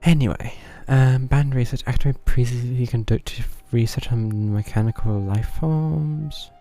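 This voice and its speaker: Gravelly, laid-back male game streamer. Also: mid-range voice, normal speed, warm tone, soft background music. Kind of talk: casual live gameplay commentary.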